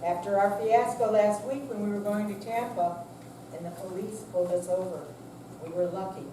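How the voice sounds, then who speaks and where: A woman playing a worried, gently nagging elderly wife in a staged reading, heard from a distance in an echoey room. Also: medium pitch, fairly even intonation, normal speed, natural voice. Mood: worried, scolding. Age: older. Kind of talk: staged reading of a short play. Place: senior center room with audience, distant mic. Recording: echoey hall from far off, noisy.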